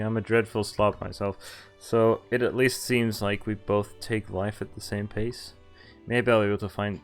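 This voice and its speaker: Male streamer, lowish voice, wry casual read-along of a visual novel, music underneath. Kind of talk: casual game walkthrough, reading dialogue aloud and commenting. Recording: game music behind the voice.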